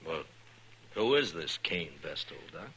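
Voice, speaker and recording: An actor playing a wry, plainspoken American frontier marshal in a 1950s radio western. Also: male voice, extremely low pitch, lively intonation, unhurried, sharp tone, breathy edge, heavily acted. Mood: sober. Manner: dry, teasing, plainspoken. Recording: faintly noisy vintage radio recording.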